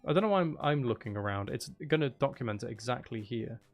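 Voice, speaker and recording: Creaky-voiced man streaming a game. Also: medium pitch, lively intonation, fast, highs cut off, soft background music. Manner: talks to himself, muttering and exclaiming. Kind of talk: casual livestream gameplay commentary.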